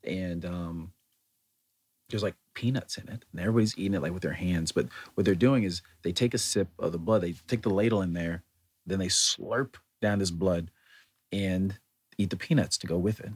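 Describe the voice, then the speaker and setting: A man's voice: low-pitched, normal speed, husky. A man casually telling a rambling personal anecdote, often correcting himself and chuckling as he goes. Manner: rambling, self-correcting storyteller.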